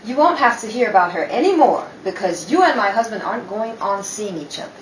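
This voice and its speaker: Wronged society wife, acted by a woman, indignant and firm, lively swooping delivery, 1930s radio style, roomy distant sound. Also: medium pitch, brisk, natural voice. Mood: indignant resolve. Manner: dramatic, indignant. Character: proud, wounded society wife and mother, firm. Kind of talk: 1930s radio play acting. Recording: echoey room, distant mic, faint noise.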